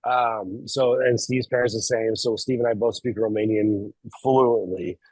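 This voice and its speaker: A man's voice: fairly low pitch, fairly even intonation, measured pace, a little mellow, husky, no room sound, poor audio. A man from California, a documentary filmmaker guesting on an interview show, talking in an easygoing way and laughing often. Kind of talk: casual interview chat.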